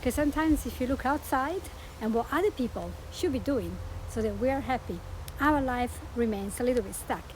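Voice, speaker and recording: A woman's voice: on the high side, expressive, fairly quick, background noise. A woman with an Italian accent, talking earnestly as a podcast host and life coach, promoting her show. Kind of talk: promotional monologue.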